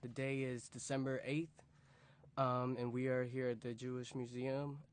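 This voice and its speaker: A man's voice: medium pitch, level tone, moderate pace, clear, resonant tone. A man in his early twenties, a music program leader, recalling his story earnestly in an interview and repeating words as he goes. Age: 20s. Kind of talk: recorded interview recollection. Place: museum interview room.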